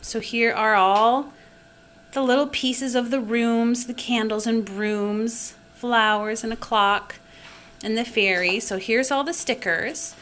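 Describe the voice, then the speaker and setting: A woman's voice: a little high, slow, fairly bright tone, a little hiss or hum. A woman hosting a homeschool recommendation vlog, talking casually and encouragingly as one parent to others. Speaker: homeschool video host and parent.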